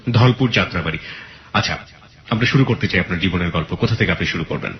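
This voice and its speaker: A man's voice: deep voice, fast talker, reverberant, lo-fi sound. A male radio host interviewing a guest, probing and repeating her answers back.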